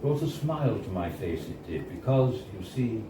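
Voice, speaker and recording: Guy reading a story live, lowish voice, really expressive n theatrical, deliberate pauses, echoey noisy room. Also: far from the mic. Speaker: reader of a story. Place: live on stage in a bar. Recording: echoey, noisy bar recording from off.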